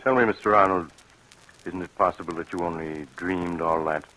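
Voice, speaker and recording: An actor playing a rational doctor in an old radio drama, questioning a patient in a measured, formal way. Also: male voice, low voice, steady tone, bright, forceful tone, low-quality recording. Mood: calm, probing. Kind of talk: 1940s radio drama acting. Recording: hissy old radio broadcast.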